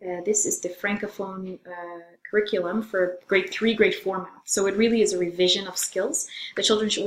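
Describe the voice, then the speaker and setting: Middle-aged female French teacher from Zambia, informal course presentation, halting phrasing, roomy echoing sound. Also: lowish voice, slight background noise. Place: room in a house, indoors. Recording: echoey room sound.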